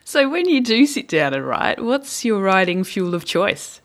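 A woman's voice: medium pitch, sing-song, unhurried. A woman hosting a podcast, asking guests questions in a casual, playful way. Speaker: podcast host. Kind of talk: casual interview.

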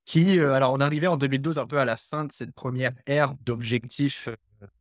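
A man talks in a podcast interview, stopping often to restart his sentences. His voice sits at an ordinary pitch. The recording is dry and close. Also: steady tone, fairly quick, no room sound. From Canada (Quebec). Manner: hesitant, many fillers and restarts. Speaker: guest, development researcher.

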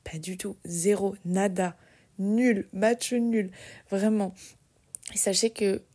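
A woman's voice: mid-range voice, fairly even intonation, slow, mellow. A woman in her early twenties talking casually and confidingly to her listeners, with many fillers and restarts. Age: 20s. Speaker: podcast host. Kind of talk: casual personal monologue.

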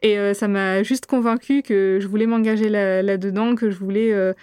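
Interview guest: young woman from Réunion, Gujarati as her first language, clear voice, measured and halting. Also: mid-range voice, fairly even intonation, mellow, dry recording, studio quality. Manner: thoughtful, frequent hesitations. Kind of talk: interview answers.

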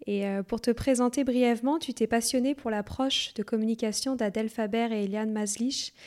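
A woman hosting a podcast, reading a clear intro and asking questions in a measured way. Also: mid-range voice, darkish tone. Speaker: podcast host. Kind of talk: scripted intro then interview questions.

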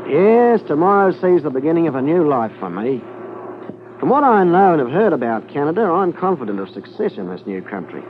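A man playing a likable, smooth, evasive smuggler posing as a scientist in a 1950s radio drama. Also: on the high side, sing-song, fairly quick. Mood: affable, evasive. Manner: affable, polite, evasive. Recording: noisy muffled 1950s radio transcription.

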